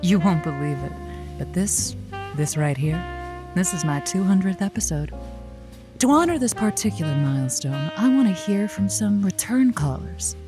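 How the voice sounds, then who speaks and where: An actress playing a sardonic, flirtatious late-night radio host in an audio comedy, teasing and theatrical. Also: female voice, fairly low pitch, animated, normal speed, over music, natural voice. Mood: sultry, playful.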